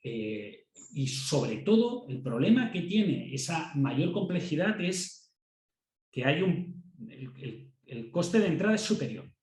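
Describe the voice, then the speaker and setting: A man's voice: fairly high pitch, sing-song, takes it slowly, darkish tone. Castilian Spanish man lecturing in an echoey room. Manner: conversational, repeats words while thinking, checks in with students. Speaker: university lecturer. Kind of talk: classroom lecture.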